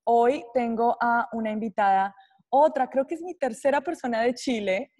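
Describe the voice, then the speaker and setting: Woman podcast host, enthusiastic, fairly high voice, dry close sound. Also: moderate pace, darkish tone, dry recording. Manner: enthusiastic, reads guest bio. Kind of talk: podcast introduction and interviewing.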